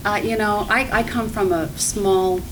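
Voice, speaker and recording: A woman's voice: mid-range voice, steady tone, a little slow. Earnest woman, distant mic. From mid-Michigan. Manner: earnest, rambling with restarts. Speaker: job candidate. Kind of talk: interview answers. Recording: distant mic, clear background noise.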